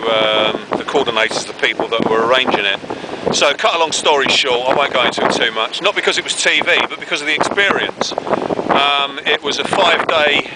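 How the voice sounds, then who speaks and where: A man's voice: mid-range voice, fairly quick. A man vlogging outdoors while trekking a wet, windy trail, chatting casually and rambling with many fillers. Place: outdoors on a windy rainy trail, later in woods. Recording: loud wind buffeting the mic. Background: loud wind noise.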